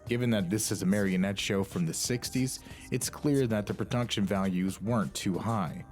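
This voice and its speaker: A man's voice: fairly low pitch, moderate pace, a little mellow, soft background music. A man reading scripted, documentary-style narration for an online video.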